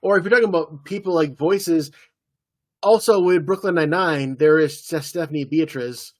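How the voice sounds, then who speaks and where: A man co-hosting a casual TV podcast, recapping the plot in a relaxed way with playful impressions. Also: fairly high pitch, normal speed. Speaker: podcast co-host. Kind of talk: casual chat about a TV episode.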